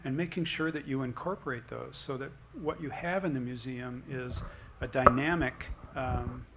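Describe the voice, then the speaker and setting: A man's voice: medium pitch, fairly even intonation, moderate pace, very noisy. Thoughtful man on a discussion panel.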